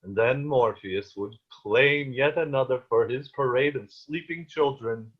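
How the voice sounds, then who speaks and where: A man's voice: medium pitch, a little slow, slightly rough. A man playing a stern, protective peasant father in a table read of a period play, with ornate old-fashioned diction, over a video call. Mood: tender, nostalgic. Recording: dull, lo-fi online call.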